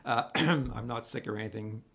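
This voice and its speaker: Middle-aged male priest, raspy and gravelly, conversational preacher. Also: medium pitch. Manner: conversational, animated hand gestures, reads scripture slowly. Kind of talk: video homily to camera. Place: small room at home.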